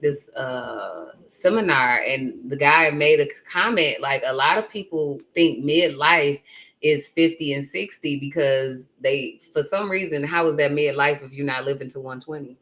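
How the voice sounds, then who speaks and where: She is a woman in her thirties with a slightly low pitch and a very bright, forward tone. She hosts in a casual, chatty way, animated as she talks straight to the people on the call. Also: measured pace. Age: 30s. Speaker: host of an online business coaching session. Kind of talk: casual conversational talk.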